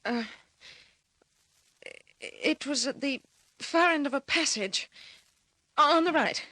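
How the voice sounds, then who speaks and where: High-pitched, frantic young woman. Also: animated, slow pace, sharp tone, no echo, heavily acted. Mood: anxious, earnest. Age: young adult. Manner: breathless, stammering, insistent. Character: frantic, pleading young woman seeking her missing sister. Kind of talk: old-time radio drama acting.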